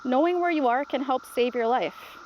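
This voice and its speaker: A patient storybook grandmother, read aloud by a woman, reassuring and instructive. Her voice sits high, with faint noise behind it. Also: moderate pace, a little mellow, lightly acted, poor audio.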